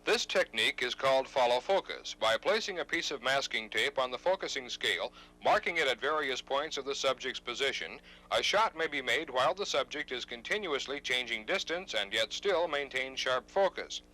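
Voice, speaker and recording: Male instructional narrator. Scripted, formal, precise delivery, animated pitch, crisp forward brightness. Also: moderate pace, hardly any pauses, rough recording. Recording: 1970s instructional videotape narration.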